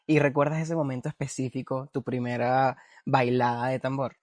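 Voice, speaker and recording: A man's voice: medium pitch, flat delivery, moderate pace, darkish tone, dry recording, studio quality. A man hosting an interview, enthusiastic and full of restarts. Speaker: interviewer, host. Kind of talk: interview conversation.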